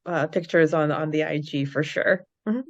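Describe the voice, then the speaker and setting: A woman's voice: low voice, steady tone, moderate pace, lo-fi sound. A young adult woman co-hosting a fan podcast in a casual, chatty style. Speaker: podcast co-host.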